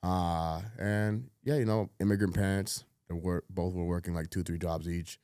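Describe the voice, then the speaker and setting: Deep-voiced man telling his story. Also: fairly even intonation, moderate pace, darkish tone, clear, resonant tone, no echo, studio quality. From Cuba. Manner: laid-back, many fillers, drops to a mutter at phrase ends. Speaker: podcast guest. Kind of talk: casual storytelling chat.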